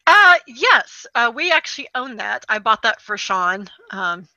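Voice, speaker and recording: A woman's voice: on the high side, big pitch swings, unhurried, pushed and bright, dry recording, muffled recording. A woman co-hosting a podcast, giving informal, opinionated commentary in a casual conversational style. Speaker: podcast co-host.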